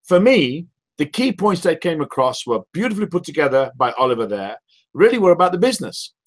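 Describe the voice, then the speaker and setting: A man with a loud, high-pitched voice leads a team call. He hammers his points with repetition and rhetorical questions. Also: sing-song, brisk. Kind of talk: motivational sales call. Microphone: call audio.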